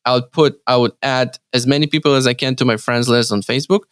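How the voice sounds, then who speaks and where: A man from Ukraine speaking English as his second language with a Ukrainian accent, answering interview questions in a halting way with many restarts and fillers. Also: mid-range voice, steady tone, a bit bright, smooth, no echo, clean studio sound. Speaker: real estate team leader, guest.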